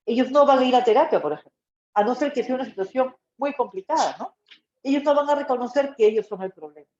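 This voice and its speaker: A Peruvian woman teaches in an informal lecture style, with a soft-edged, mellow voice. She explains didactically and keeps checking that listeners follow with brief tag questions. The sound is dull and muffled, with plenty of room echo around her. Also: sits high, fast talker, a little hiss or hum. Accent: Peruvian Spanish. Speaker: psychology lecturer.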